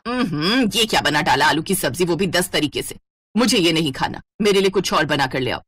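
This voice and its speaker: A woman's voice: low voice, expressive, a little fast, a bit bright, breathy edge, no room sound, pitched below the performer's own voice, heavily acted. A woman voicing a demanding older mother-in-law in a family story, scolding and bossy. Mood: displeased, bossy.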